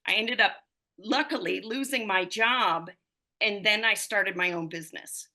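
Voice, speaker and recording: Woman, fifties, running coach, rambling guest storytelling, bright tone. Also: mid-range voice, a little slow. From United States. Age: 50s. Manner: rambling storytelling with many fillers. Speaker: running coach and physical therapist. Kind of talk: conversational podcast interview.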